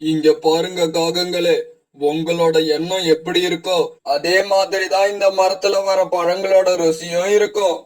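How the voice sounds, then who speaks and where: Man voicing a stern, flat-toned talking tree. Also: sits high, low-quality recording. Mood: stern, solemn. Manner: slow, solemn, preachy. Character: talking pomegranate tree, stern male moralising judge. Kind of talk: children's moral cartoon voice acting.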